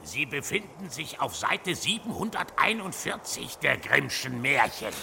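A man playing a cawing talking bird that announces book pages in a children's radio play, croaky and squawking. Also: mid-range voice, big pitch swings, a little slow, sharp tone, slight background noise, heavily acted. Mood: officious.

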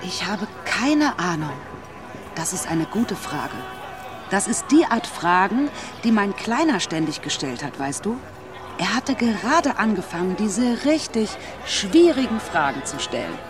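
An actress playing a chatty, brash woman who works in fast food, animated and teasing, in a radio drama. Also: mid-range voice, music in the background. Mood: teasing, musing. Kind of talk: naturalistic radio drama acting.

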